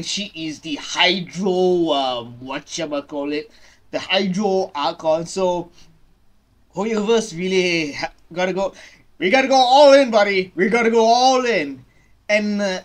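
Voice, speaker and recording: A man in his twenties giving casual, halting reaction commentary, often repeating his phrases, recorded in a small bedroom. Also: sits high. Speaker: reaction video host. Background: game trailer music.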